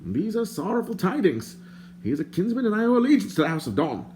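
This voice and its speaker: A man's voice: sits high, sing-song, fast talker, darkish tone, slight background noise, voice pushed up. A man voicing a wordy, theatrical male bard in an audiobook, courtly and self-mocking. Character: chatty, flowery wandering male bard.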